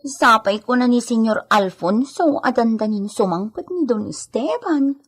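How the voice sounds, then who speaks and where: A woman's voice: a little high, expressive, measured pace, breathy edge. A woman acting an eager, coaxing female helper who tends a sick lady in an Ilocano radio drama. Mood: gossipy. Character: Edita, caring chatty female helper tending a sick lady. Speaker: voice actor.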